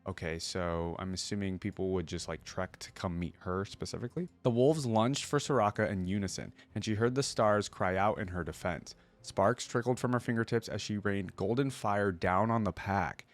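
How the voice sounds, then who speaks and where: A man hosting a reaction video, reading lore aloud and adding casual, rambling commentary as he thinks out loud. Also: lowish voice, normal speed.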